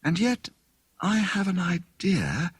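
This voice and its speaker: A man voicing a thoughtful, inquisitive young woman amateur detective in an audiobook story, musing deliberately. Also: sits high, sing-song, slightly rough, dry recording, pitched above the performer's own voice, heavily acted.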